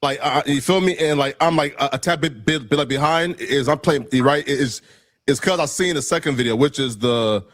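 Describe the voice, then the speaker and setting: A man's voice: mid-range voice, a little fast, fairly bright tone, dry recording, studio quality. A man answering interview questions defensively, rambling and packing his speech with "like" and "right?". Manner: rambling, heavy fillers, restarts. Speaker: interview guest.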